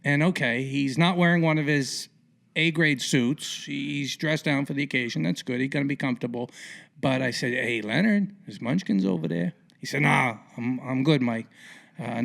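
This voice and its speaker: A man hosting a casual podcast interview in a colloquial, theatrical way with punchy, emphatic phrasing and a gravelly voice. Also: medium pitch, normal speed. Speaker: podcast host.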